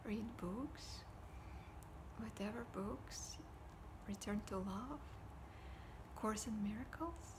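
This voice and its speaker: A woman, talking casually to camera at home in a soft, often whispery voice. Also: mid-range voice, takes it slowly, pauses often, background noise. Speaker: vlogger. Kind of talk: casual personal talk to camera. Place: living room at home, indoors. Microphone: device camera at desk distance.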